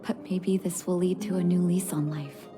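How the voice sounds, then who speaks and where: An actress voicing a calm, caring leader of an underground resistance in a video game, measured and gracious. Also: female voice, lowish voice, natural voice. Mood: grateful, composed. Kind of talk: video game cutscene dialogue. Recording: music playing behind the voice.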